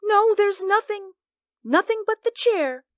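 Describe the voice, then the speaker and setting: An actress in a 1940s radio drama playing a frightened young woman who talks in a tearful, high-strung way. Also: expressive, a little slow, dry recording. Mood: tense.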